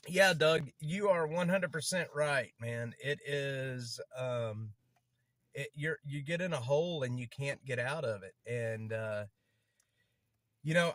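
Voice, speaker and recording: A man with a noticeable stutter talks casually to a livestream audience outdoors on a city street. He rambles, circles back over the same points and fills gaps with ums and uhs. His voice sits in a middle pitch for a man. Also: a bit bright, no echo. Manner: rambling, repeats himself, many fillers.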